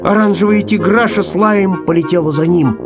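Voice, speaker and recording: A man narrating a children's story in an expressive, scripted storytelling style. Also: sits high, normal speed, over music.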